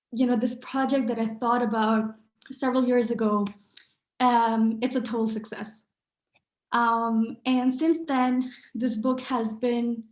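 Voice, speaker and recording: Earnest young woman, echoey room. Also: a little high. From Pakistan. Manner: earnest, many fillers and restarts. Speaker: author and artist. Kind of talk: speaking at a book event.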